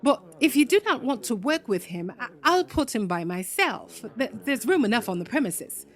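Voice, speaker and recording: A woman's voice: on the high side, sing-song, moderate pace. A woman performing a bewildered English employer in a radio drama, hesitant and stammering. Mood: flustered, pleading.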